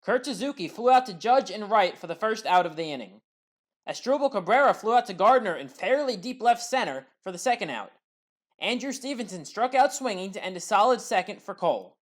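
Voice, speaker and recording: Woman reading baseball highlights voice-over. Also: fairly quick. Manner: steady recap delivery, lifts on big plays. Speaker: highlights commentator. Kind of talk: scripted play-by-play voice-over.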